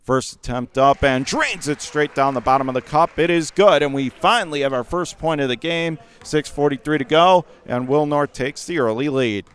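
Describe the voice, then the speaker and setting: A man calls a basketball game play by play for radio, his voice smooth and resonant and pitched a little high. He talks quickly and surges to shouts when the action heats up, with a ball bouncing somewhere behind him. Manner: rapid, rising to shouts on big plays.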